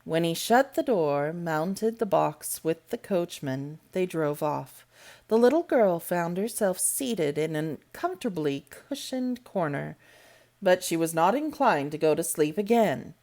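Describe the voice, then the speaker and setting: A woman narrates a storybook aloud, taking her time over each phrase. Her voice sits a little low and her delivery is measured and deliberate. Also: lively intonation, darkish tone. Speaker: storybook reader. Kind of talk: read-aloud audiobook narration.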